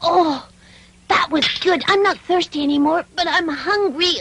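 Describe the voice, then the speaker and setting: A woman voicing an earnest, excitable wooden puppet boy in a dubbed cartoon, childlike, exclamatory and innocent. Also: extremely high pitch, measured pace, background noise. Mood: polite, needy. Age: child figure.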